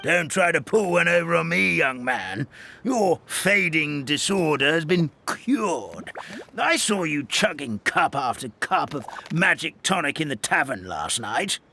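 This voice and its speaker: An actor playing a fussy, easily flustered elderly forest animal grandpa in a video game. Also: male voice, fairly high pitch, sing-song, a little slow, gravelly, bright, forceful tone, slight background noise, full character voice. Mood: scolding. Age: older. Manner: flustered, scolding, stammering. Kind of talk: video game character acting.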